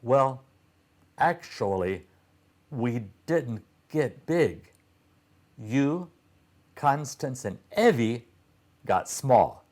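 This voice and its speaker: A man's voice: mid-range voice, big pitch swings, drawn-out words, pauses often, darkish tone, exaggerated voice. A man voicing a friendly toy mouse from a children's storybook, explaining things in a matter-of-fact way.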